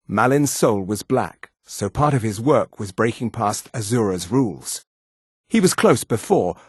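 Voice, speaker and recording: A man playing an old, weary elven wizard in a fantasy video game, with a measured, grave and somewhat haughty delivery. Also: medium pitch, breathy edge, no room sound, clean studio sound. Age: older.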